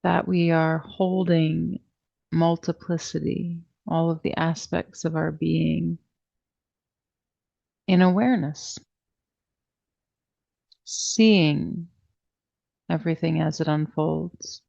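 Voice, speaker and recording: A woman teaching a guided meditation class, speaking in a soothing, deliberate way with long pauses and a soft-edged, creaky voice. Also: sits low, steady tone, muffled recording. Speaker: yoga nidra meditation teacher.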